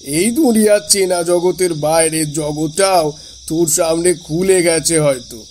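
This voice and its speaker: A man's voice: on the high side, a little slow, darkish tone, slight background noise, close to an everyday voice. A man playing a concerned, slightly anxious elder brother figure with a secret, speaking familiarly in a horror audio drama. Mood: concerned, curious.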